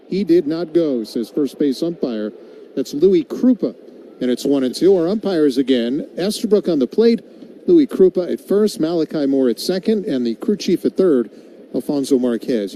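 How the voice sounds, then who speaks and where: Male radio baseball play-by-play announcer, very soft-edged and mellow tone, slightly higher register, live game noise underneath. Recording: live broadcast with clear background noise.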